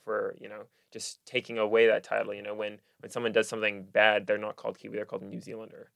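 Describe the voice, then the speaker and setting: Gravelly young American man, casual chat. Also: on the low side, quick pace, dry recording. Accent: American Midwest. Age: young adult. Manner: relaxed, hesitant, lots of ums and laughs. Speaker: podcast host.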